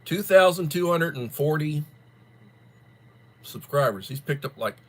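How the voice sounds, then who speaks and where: A man hosting a casual livestream, chatting to viewers while still stuffed up and coughing from the flu, in a rambling, drawn-out way. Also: on the high side, lively intonation. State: congested, coughing after flu. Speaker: livestream host. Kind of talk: casual chat greeting viewers.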